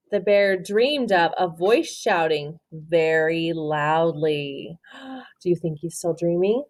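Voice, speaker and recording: A woman teacher reading a picture book to children expressively, pausing to question and explain to her young listeners. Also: medium pitch, a little mellow. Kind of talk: interactive children's storybook read-aloud.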